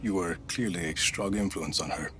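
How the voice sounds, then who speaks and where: An actor playing a blunt, driven action hero in a video game cutscene. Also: male voice, sits low, moderate pace, over music, close to an everyday voice. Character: grown man, tough action hero. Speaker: protagonist.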